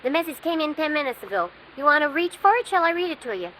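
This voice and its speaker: High-pitched American hotel desk girl. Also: sing-song, fast talker, a bit bright, rough recording. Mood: teasing, breezy. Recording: faint hiss on vintage radio recording.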